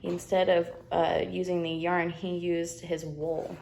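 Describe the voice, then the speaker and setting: A woman reading a children's storybook aloud in an expressive narrating style. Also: on the low side, measured pace, vocal fry, a little hiss or hum. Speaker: storybook reader.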